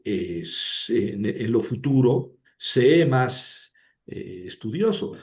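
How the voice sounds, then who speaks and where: A man's voice: mid-range voice, big pitch swings, very slow, a little mellow. A man teaching a language lesson, explaining patiently and often checking with "¿verdad?". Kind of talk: lecture. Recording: dull lo-fi recording.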